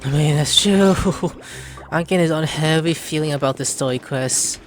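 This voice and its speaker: A woman hosting a gaming video, giving casual, blunt and expressive reactions as she plays. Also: low voice, moderate pace, music in the background. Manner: informal, expressive, blunt, swearing. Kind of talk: casual game playthrough reaction commentary.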